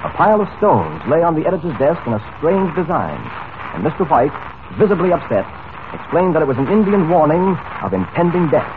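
An actor playing a heroic radio serial announcer, declamatory and dramatic in 1940s style. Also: male voice, a little high, sing-song, brisk. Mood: dramatic, suspenseful. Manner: declamatory, dramatic, crisp phrasing. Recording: noisy, tinny old radio recording.

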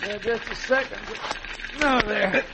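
Wounded frontiersman: very high strained voice, slow, gasping, desperate, radio drama. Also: gravelly. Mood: pained, desperate. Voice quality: halting, strained. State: gasping, hurt, faint. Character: wounded, desperate frontier father, rough and fierce. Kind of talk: old radio western acting. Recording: muffled, noisy old radio recording.

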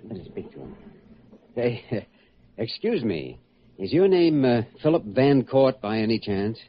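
An American man acting an earnest, considerate doctor in a classic radio drama, questioning gently and a little hesitantly. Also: medium pitch, sing-song, a little slow. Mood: concerned. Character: earnest, considerate young male hospital doctor. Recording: muffled, noisy old radio recording.

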